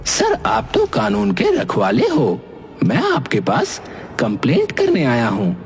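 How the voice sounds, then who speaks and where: A man's voice: sits high, wildly swooping pitch, a little slow, creaky, exaggerated voice. A man voicing a friendly, indignant cartoon ghost with an earnest, dramatic, pleading way of talking. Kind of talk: animated cartoon character acting. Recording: music bed under the voice.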